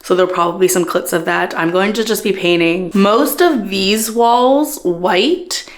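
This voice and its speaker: Young woman, book vlogger, hoarse with a cold, chatty and rambling, talking into a phone. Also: mid-range voice, measured pace. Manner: chatty, rambling, laughs often. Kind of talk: casual vlog to camera. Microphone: phone camera.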